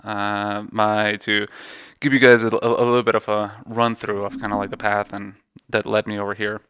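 A man in his thirties from Mexico with a Mexican Spanish accent, a radiologist guest chatting easily, laughing often and filling pauses with "uh.". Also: lowish voice, level tone, a bit bright, clear, resonant tone. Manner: easygoing, laughs often, many "uh" fillers. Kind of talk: casual interview conversation. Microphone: podcast microphone.

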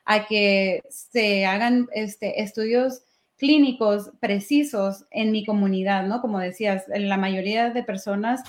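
A woman's voice: mid-range voice, measured pace. A young adult woman speaking as an interview host, conversational and engaged, often adding short tags that seek agreement.

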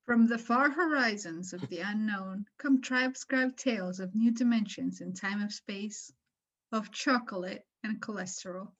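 A woman's voice: medium pitch, a little slow, fairly bright tone, muffled recording. A woman playing a solemn, grandiose science-fiction announcer in a parody of 1950s radio.

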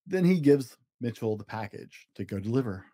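A man hosting a children's show, reading a storybook aloud in simple, expressive language for young listeners. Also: medium pitch, normal speed, dry recording, studio quality.

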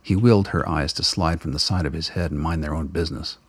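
Husky low-voiced male narrator. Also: fast talker. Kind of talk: scripted narration.

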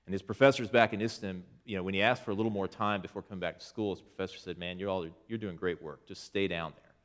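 A man's voice: low-pitched, steady tone, fast. A man, a church elder, giving an informal presentation to his congregation about a mission trip, talking conversationally with frequent restarts as he points to photos.

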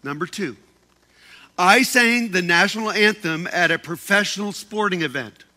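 A man's voice: sits high, animated, moderate pace, bright, forceful tone. A man speaking to a group in a casual, sermon-like talk. He is playful and joking, holding a handheld mic and often repeating and restarting his words. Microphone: handheld microphone.

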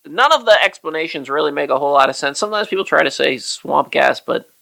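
Man, podcast host, slightly high voice, gravelly fry, easygoing chat. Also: expressive, sharp tone. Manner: easygoing, chuckling, reads poem slowly and deliberately. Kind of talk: casual podcast chat and poetry reading.

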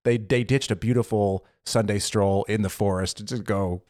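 A young man co-hosting a casual chat podcast, telling animated stories and acting out what others said. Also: lowish voice, moderate pace, dry recording, clean studio sound. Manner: animated storyteller, acts out quotes. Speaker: podcast co-host. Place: living in Germany.

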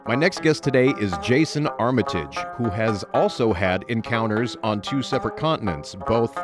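A man hosting an interview, speaking in a polite, measured way. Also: lowish voice, animated, over music. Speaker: podcast host.

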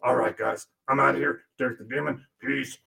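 A foul-mouthed demon puppet grumbles and sneers in a gravelly, fry-laced voice, as a man puts him on. He sits at a middling pitch and rambles with crude sarcasm, griping about the man who works him. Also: fairly even intonation, a little fast, sharp tone. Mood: sarcastic. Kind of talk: comic monologue in character.

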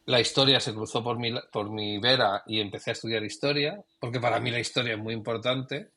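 A man's voice: mid-range voice, steady tone, brisk, sharp tone, slightly rough. A middle-aged man from Spain, a professional photographer, talking reflectively about his career in an interview, with trailing restarts. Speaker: guest photographer. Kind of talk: conversational interview.

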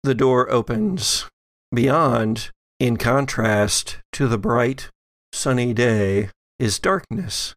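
Male game master, unhurried, creaky voice, dry close recording, halting horror-game narration. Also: mid-range voice, expressive, a bit bright, no echo.

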